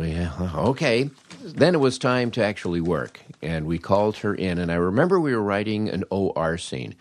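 A man's voice: low voice, no room sound, clean studio sound. An older American man hosting a podcast and telling comic anecdotes in a wry, understated way. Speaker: podcast host.

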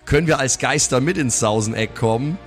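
A man's voice: mid-range voice, a little fast, faint music behind, natural voice. A man playing an eager, cheeky dead sailor in an improvised roleplay audio play. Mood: eager, pleading.

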